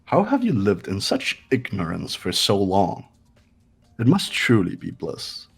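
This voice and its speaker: A haughty young man from a visual novel game acted by a man. His voice is low and husky, with formal, pompous phrasing that talks down to everyone. Music plays behind him. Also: wildly swooping pitch, measured pace, full character voice. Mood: scornful. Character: haughty, sneering young male intellectual rival. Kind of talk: fan-dubbed visual novel game acting.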